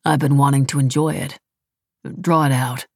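A woman reader takes on a wealthy, calculating man in an audiobook novel. He is measured and deliberate, needling yet controlled. The voice is low and gravelly in a dry, close recording. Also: steady tone, no room sound, heavily acted.

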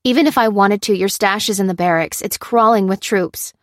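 An anguished young woman researcher in an audiobook drama, acted by a female narrator. She speaks urgently and insistently, lifted in pitch and closely recorded in a dry space. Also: expressive, normal speed, dry recording, lightly acted. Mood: imploring.

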